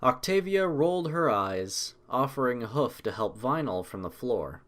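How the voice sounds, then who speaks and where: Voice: medium pitch, lively intonation, normal speed, a bit bright. A narrator reading a scripted story aloud in the third person.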